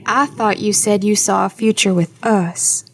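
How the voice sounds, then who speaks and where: A woman playing a level-headed, guarded young adult daughter in an audio drama, measured and dry in manner. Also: medium pitch, steady tone, slight background noise, natural voice.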